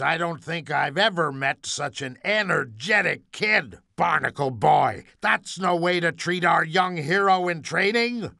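An actor playing a forgetful, doddering elderly superhero, rambling absent-mindedly and bursting into heroic shouts. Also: male voice, a little high, creaky, dry recording, studio quality, full character voice. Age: older. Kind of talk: video game voice clips.

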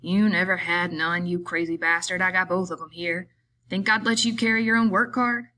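A woman playing a small, irritable, weary male migrant worker in an audiobook, scolding in rough, rustic speech. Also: lowish voice, steady tone, normal speed, pushed and bright, rough recording. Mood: stern, suspicious.

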